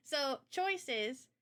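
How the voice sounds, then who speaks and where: A woman with a slightly high voice talks in a relaxed livestream presentation style. She explains her work as a game developer in informal, slangy language. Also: extremely slow. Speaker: game developer presenting a visual novel project.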